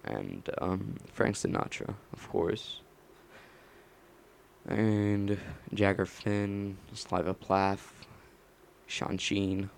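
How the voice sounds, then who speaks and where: A young man rambling on his own late at night, mumbling slowly and halting often with fillers. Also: low voice, creaky, a little hiss or hum.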